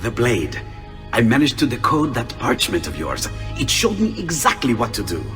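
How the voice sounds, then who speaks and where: Italian inventor, eccentric, playful, hugely animated delivery, reverberant space, game cutscene. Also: male voice, medium pitch, moderate pace. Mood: earnest. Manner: theatrical, expressive. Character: playful, eccentric Renaissance inventor, warm and eager. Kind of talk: video game dramatic acting. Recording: long reverb, music behind.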